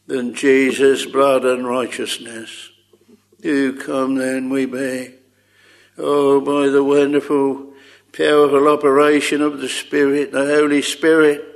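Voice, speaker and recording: Gravelly man praying slowly and earnestly. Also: medium pitch. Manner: earnest pleading, archaic thee and thou phrasing; short repeated phrases, trailing soft endings. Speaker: preacher leading prayer. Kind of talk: extempore public prayer.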